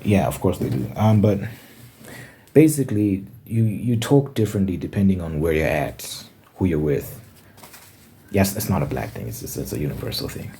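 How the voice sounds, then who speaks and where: A man's voice: low-pitched, lively intonation, normal speed, warm tone, a little hiss or hum. A man from Zimbabwe talking casually to camera in his room, rambling and correcting himself as he thinks aloud. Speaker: ASMR channel host. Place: bedroom with window.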